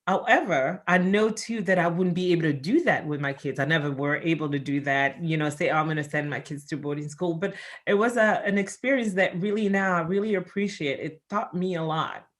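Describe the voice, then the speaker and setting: A woman's voice: fairly low pitch, brisk, hardly any pauses. A middle-aged woman from Congo, raised in Belgium, answering interview questions in a chatty way with many fillers and restarts. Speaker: interview guest.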